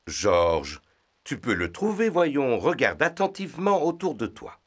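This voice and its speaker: A man's voice: medium pitch, very animated, measured pace, husky. A man voicing a cheerful, indulgent middle-aged father pig in a children's cartoon dub, with a playful theatrical manner. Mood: encouraging.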